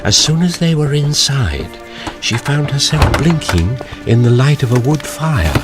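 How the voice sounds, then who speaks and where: A man's voice: mid-range voice, lively intonation, a little slow, loud music behind the voice. A man narrating a children's story from a script, as the narrator of an audio drama.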